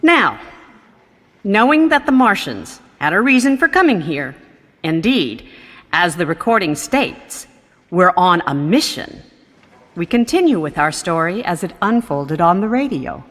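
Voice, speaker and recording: A woman's voice: lowish voice, very animated, a little slow, a bit bright, full character voice. A woman playing an earnest, composed museum guide in a radio drama, speaking formally and with ceremony. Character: composed, earnest female museum guide narrating Martian history. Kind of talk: scripted radio drama narration, lecture-like. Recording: long echoing hall reverb.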